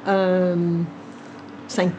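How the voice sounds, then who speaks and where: An older woman whose first language is Vietnamese, speaking in a sit-down interview in a living room, measured and thoughtful with frequent pauses. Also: lowish voice, monotone, noisy background. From India. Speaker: cookbook author.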